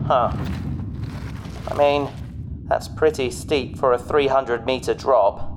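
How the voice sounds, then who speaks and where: Man acting an excitable, boastful space explorer. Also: mid-range voice, slow pace, lightly acted. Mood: excited, nervous. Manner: flustered, hesitant bluster. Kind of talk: sci-fi audio drama acting. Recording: reverberant cave effect with faint noise.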